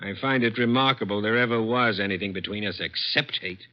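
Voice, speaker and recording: A man's voice: on the low side, fairly quick, lightly acted. An actor playing a cruel, contemptuous executive in his thirties in a vintage radio drama, sneering and domineering. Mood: sneering contempt. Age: 30s. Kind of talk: 1940s-50s radio drama acting. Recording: dull vintage radio recording.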